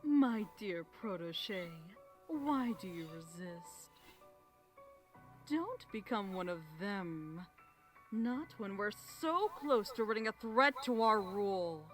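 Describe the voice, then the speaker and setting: A woman playing a cold, manipulative pony queen in a dramatic fan fiction audio reading, speaking slowly and deliberately. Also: mid-range voice, animated. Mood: menacing. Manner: slow, menacing, silky and deliberate. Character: sinister regal mare ruler, cold and manipulative. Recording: voice over background music.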